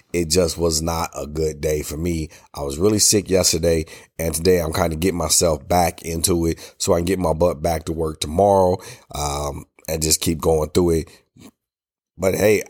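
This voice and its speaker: Deep-voiced American man, congested with a cold. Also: a little mellow. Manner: colloquial, emphatic, repeats phrases for emphasis. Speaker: podcast host. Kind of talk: casual solo podcast talk, opinionated commentary.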